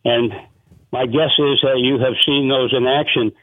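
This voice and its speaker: A man calling in to a radio show over a tinny, phone-like line. The sound is dry and close, and his voice sits at an ordinary pitch. He rambles politely and keeps thanking the guests. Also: steady tone, moderate pace, dry recording. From Montana. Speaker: caller. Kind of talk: radio call-in question. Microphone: telephone.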